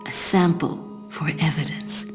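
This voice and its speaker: An actress playing a cool, calculating spy in a video game, composed and aloof. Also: female voice, sits low, unhurried, music in the background. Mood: detached, calculating. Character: cool, secretive, calculating female spy, adult. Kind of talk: video game cutscene acting and narration.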